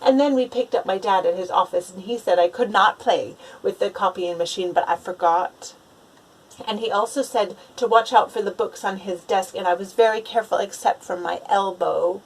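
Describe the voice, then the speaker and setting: A woman's voice: mid-range voice, moderate pace, close to an everyday voice, poor audio. A woman voicing a grumbling young boy in a children's storybook, complaining emphatically with words spaced out. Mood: exasperated. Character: grumbling, aggrieved young boy narrating his awful day. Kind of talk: children's storybook read-aloud.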